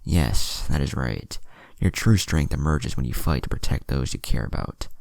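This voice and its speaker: A man's voice: a bass voice, expressive, moderate pace, gravelly, darkish tone, no room sound, heavily acted. A man voicing a confident, cheeky and protective teenage boy ninja in a casual, self-assured way, reading a story. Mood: defiant, earnest.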